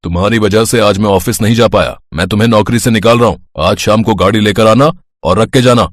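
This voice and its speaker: A man voicing a businesslike, stern office boss in an audio story, deliberate and authoritative. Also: lowish voice, no room sound, studio quality, natural voice.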